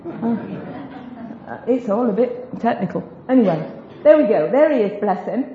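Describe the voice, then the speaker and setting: A woman teaches a group in a relaxed, chatty way, tossing in self-deprecating jokes as she goes. The recording is roomy and echoey, with a dull, lo-fi sound and a faint hiss underneath. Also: fairly high pitch, moderate pace. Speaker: speaker teaching a group about biblical Hebrew. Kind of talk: informal Bible teaching talk.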